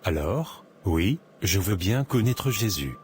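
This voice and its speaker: Un homme qui double un petit malfrat de dessin animé, affolé quand il est acculé. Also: male voice, on the low side, very animated, slow pace, darkish tone, a little hiss or hum. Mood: remorseful. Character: adult male small-time criminal, cornered and panicky, later repentant. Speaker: cartoon character. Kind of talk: animated drama dubbing. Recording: music playing behind the voice.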